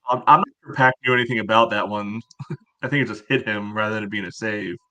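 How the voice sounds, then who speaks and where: A man on a casual sports podcast panel, talking in a halting way full of verbal tics. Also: on the low side, pushed and bright. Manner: halting, peppered with "you know".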